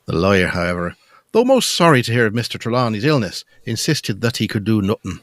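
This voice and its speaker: A man's voice: on the low side, very animated, fairly quick, no room sound, studio quality. A man reading scripted narration for a gothic audio drama.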